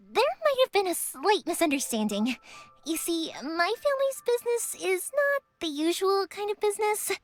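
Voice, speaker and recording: Very high girlish voice, cheerful chatty young game heroine, lively swooping intonation, earnest and dreamy. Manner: bubbly, expressive, chatty. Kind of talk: video game character voice lines. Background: background music.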